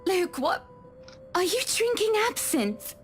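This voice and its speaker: Playful posh-mannered young wife in a vn game, high voice, music in the back. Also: female voice, very animated, takes it slowly, heavily acted. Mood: upset, scolding. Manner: genteel, playful, teasing. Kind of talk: visual novel voice acting. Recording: music playing behind.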